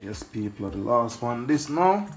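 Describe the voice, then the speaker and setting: A man's voice: medium pitch, sing-song, measured pace. A man hosting a casual cooking vlog, talking loosely and repeating his catchphrases as he works. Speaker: cooking channel host. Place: shop and street outdoors, then home kitchen. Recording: echoey with loud music behind.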